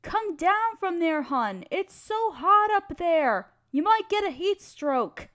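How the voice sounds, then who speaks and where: A woman's voice: extremely high pitch, sing-song, slow pace, fairly bright tone, exaggerated voice. A woman playing a playful, affectionate wife who loves poetry, theatrical and teasing, in a romantic audio roleplay.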